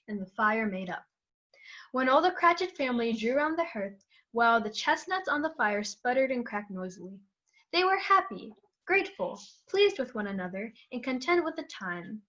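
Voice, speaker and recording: A young female student narrates a classic story from a script. Her voice is fairly high with very varied intonation, and the recording sounds slightly muffled and lo-fi. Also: animated, normal speed, pushed and bright. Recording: dull lo-fi recording.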